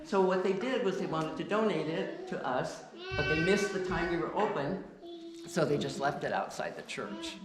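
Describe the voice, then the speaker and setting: A woman giving an informal talk to a church congregation, deliberately loud and chatty, with many restarts. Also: lowish voice, very animated. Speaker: guest speaker. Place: church sanctuary. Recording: echoey church with faint noise.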